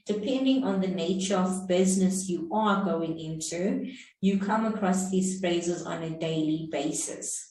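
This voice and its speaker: A South African woman teaching English business expressions in a slow, explanatory way, repeating her points. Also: fairly low pitch, mellow, recorded from a distance. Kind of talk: online lesson. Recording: very echoey room, off the mic.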